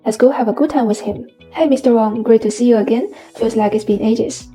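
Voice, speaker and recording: A woman's voice: fairly high pitch, brisk, dark tone, low-quality recording. A woman hosting an interview, reading a polished, upbeat script. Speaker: interview host. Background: faint background music.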